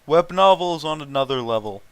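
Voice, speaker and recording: A man's voice: fairly high pitch, expressive, moderate pace, clear, resonant tone, no echo. A man casually reading fan fiction aloud and mocking it with sarcastic, sweary, amused commentary.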